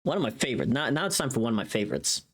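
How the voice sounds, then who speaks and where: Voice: medium pitch, huge pitch swings, rapid-fire. A livestream host chatting casually while working, informal and sweary, often thinking aloud.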